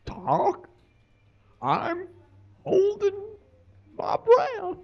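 A man voicing an anxious, dim, whiny puppet boy in squeaky, halting falsetto in a live comedy puppet show. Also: huge pitch swings, muffled recording, exaggerated voice. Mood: indignant, whiny. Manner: slow, drawn-out, halting delivery.

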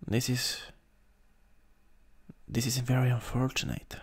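A man with an Italian accent playing a mean, sadistic vampire master in an improvised ASMR roleplay, husky and breathy with a menacing, theatrical manner that drifts into whispers. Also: medium pitch, completely flat delivery, pitched above the performer's own voice. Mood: mocking, amused. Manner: slow, menacing, theatrical, chuckling.

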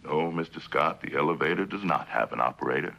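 An actor playing a sardonic courtroom prosecutor in a 1960s television drama, clipped and cross-examining. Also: male voice, a bass voice, animated, fast, creaky, dull audio, exaggerated voice. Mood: pressing, sardonic. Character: forceful sardonic courtroom prosecutor grilling a witness.

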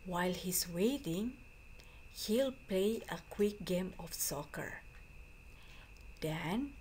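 A woman teacher reading a storybook aloud to students in a deliberate, phrase-by-phrase way. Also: fairly low pitch, animated, darkish tone, slight background noise. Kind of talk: slow storybook read-aloud for a class.

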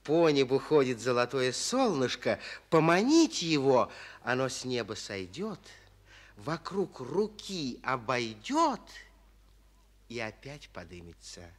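Fairy-tale father, animated, expressive storyteller, old radio recording. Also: mid-range voice, slow pace. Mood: whimsical. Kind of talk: 1940s radio play acting.

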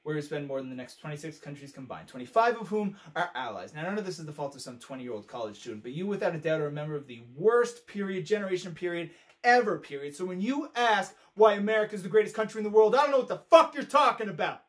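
A man acts out a brutally frank, fed-up male commentator in a dramatic monologue. His mid-pitched voice is biting and emphatic, lecturing in pointed rhetorical phrases. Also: expressive, quick pace, few pauses, natural voice. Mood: cutting, matter-of-fact, then furious.

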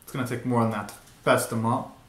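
A man in his twenties giving a casual, excitable live reaction commentary full of slangy exclamations, recorded in a small bedroom. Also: mid-range voice, fast. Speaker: reaction video host. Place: small bedroom at home, indoors. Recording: roomy, echoey home recording.

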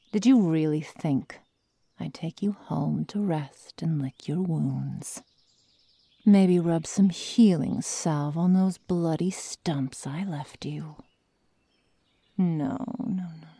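Slow, breathy sadistic werewolf girl, acted by a woman. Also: low-pitched, dry recording, heavily acted. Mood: cruel gloating. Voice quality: breathy, often whispered. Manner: slow, soft, mock-tender menace. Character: sadistic young female werewolf, coldly teasing and menacing. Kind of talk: ASMR roleplay, whispered and close. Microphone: close microphone, dry sound.

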